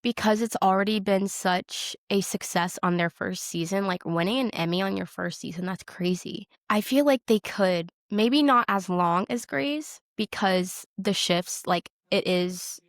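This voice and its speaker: A woman's voice: medium pitch, unhurried, hardly any pauses, dry recording. Female podcast co-host, informal chatty delivery, mid-range voice, dry studio-style sound. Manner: informal, peppered with "like". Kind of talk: casual chat about a TV show.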